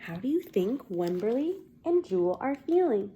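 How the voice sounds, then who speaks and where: A woman reading a children's picture book aloud as a teacher, expressive and deliberate, pausing to put questions to young listeners. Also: on the high side, slight background noise.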